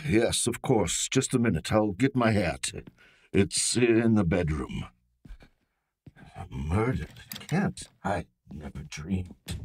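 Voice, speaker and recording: An actor playing a nervous, evasive man in a radio mystery, husky and halting, easily flustered. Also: deep voice, animated, a little mellow, full character voice. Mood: shocked, shaken. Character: nervous, evasive man caught up in a murder case. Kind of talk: old-time radio drama acting.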